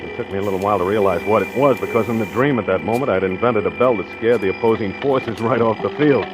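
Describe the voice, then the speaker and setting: A man acting a calculating husband who narrates his own crime in a measured, confiding 1940s radio suspense style. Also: fairly low pitch, background noise, natural voice. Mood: calm, reflective. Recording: old radio drama recording with music.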